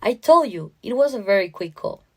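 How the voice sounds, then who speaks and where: A woman's voice: on the high side, big pitch swings, a little fast, a little mellow. A woman voicing a sleep-deprived, anxious mother in a story, pleading and defensive as she insists.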